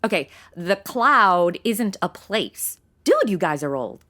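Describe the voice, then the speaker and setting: A woman's voice: medium pitch, sing-song, slow, sharp tone, heavily acted. A woman playing a brash, sarcastic modern young woman in a comedy audio drama, full of slang and exclamations. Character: sassy modern young woman, snarky, brash amateur sleuth.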